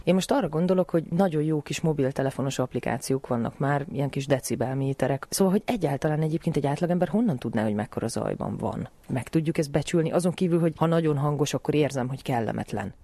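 A woman's voice: deep voice, few pauses, dark tone, no room sound. A woman hosting an interview, putting questions in a conversational way. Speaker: interviewer, host.

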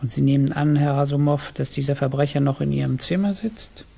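An actor playing a formal, politely probing Tsarist police chief in a 1960s German radio play, with a gravelly, rasping voice. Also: male voice, medium pitch, fairly quick, warm tone. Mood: coolly polite.

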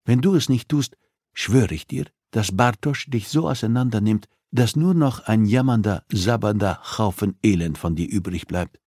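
A man's voice: lowish voice, moderate pace, a little mellow, dry recording, studio quality, lightly acted. A man narrating an audiobook, voicing a coolly persuasive, threatening female criminal who interrogates with insistence and condescension. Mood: insistent, threatening.

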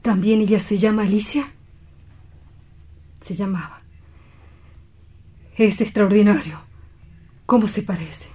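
A woman playing a grief-stricken, guilt-ridden doctor in a radio drama, solemn, halting and imploring. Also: mid-range voice, mellow, slightly rough. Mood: tender, haunted.

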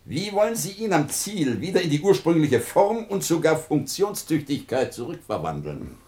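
An actor playing a skeptical, condescending science professor in a radio play, pedantic and ironic. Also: male voice, fairly high pitch, sing-song, moderate pace, warm tone. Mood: sarcastic. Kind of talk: German science-fiction radio play acting.

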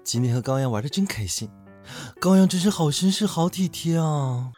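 A man's voice: a little high, lively intonation, normal speed, darkish tone, soft background music, lightly acted. A man playing a sulky, naive young man who needles his friend with pointed questions in a live audio drama. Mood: pleased.

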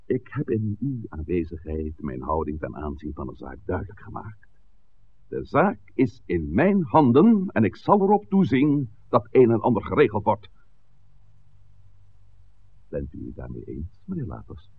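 A stern village priest in an old radio drama, formal, really swoopy intonation, dull hissy recording. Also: male voice, fairly low pitch, huge pitch swings, heavily acted. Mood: commanding. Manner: measured, formal, admonishing. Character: authoritative village priest, composed, stern peacemaker. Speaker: pastor. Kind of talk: 1960s radio drama acting.

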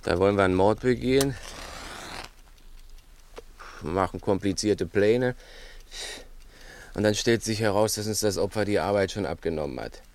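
Actor playing a deep, gravelly, brooding male crook. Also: normal speed, pauses often, darkish tone, lightly acted. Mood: grim relish. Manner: theatrical, musing, condescending. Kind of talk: 1960s German radio play acting. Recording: dry 1960s radio play recording.

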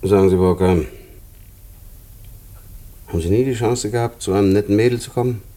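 Guy playing prison inspector in a radio drama, deep mellow voice, calm n measured, kind of fatherly. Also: natural voice. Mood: concerned, probing. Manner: measured, probing, matter-of-fact. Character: calm, paternal, plain-spoken male prison inspector. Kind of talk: German radio play acting.

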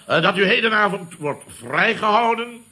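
An actor playing a pompous, nostalgic company boss who orates emphatically, in a Dutch radio comedy serial. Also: male voice, high-pitched, lively intonation, normal speed, pushed and bright. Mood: pompous, ceremonial. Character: bombastic, nostalgic male boss of a building firm.

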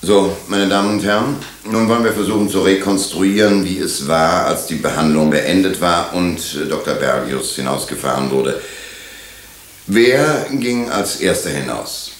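Police inspector, male actor: lowish voice, courteous but probing, methodical, roomy radio-play sound. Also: natural voice. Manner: formal, probing, deliberate. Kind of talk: German radio drama acting. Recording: roomy, echoing radio drama studio.

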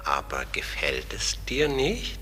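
A gentle, mysterious raven speaks in a radio play with solemn, cryptic phrasing. The voice is bright and moves widely in pitch. It rings in a long reverberant hall. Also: male voice, huge pitch swings, slow pace, husky, exaggerated voice. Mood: tender, playful. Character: gentle, mysterious, melancholy talking raven, wise. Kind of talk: German radio play acting.

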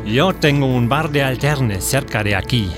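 Radio soap villain: male actor as oily gringo bar owner, English-speaking accent, very unhurried, medium pitch. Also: music in the background, close to an everyday voice. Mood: oily, persuasive. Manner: slow, oily, suggestive.

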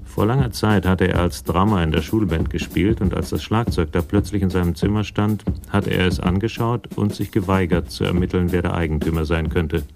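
A man's voice: deep voice, fairly even intonation, a little fast, vocal fry, few pauses, music in the background. A male actor narrating a German radio crime drama in a detached, dry, literary storytelling style.

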